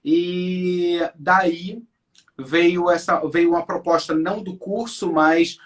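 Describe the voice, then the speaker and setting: A man's voice: high-pitched, fairly even intonation, slow, fairly bright tone. Emphatic Brazilian man in his forties. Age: 40s. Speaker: interview guest, English teacher. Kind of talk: conversational interview, personal storytelling.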